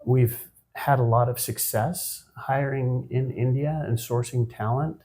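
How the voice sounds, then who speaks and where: A man in his fifties, a guest on a business podcast, answering in a measured, reflective way with frequent pauses and restarts. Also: medium pitch, fairly even intonation, warm tone. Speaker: guest, managing partner of an accounting firm. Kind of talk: conversational podcast interview.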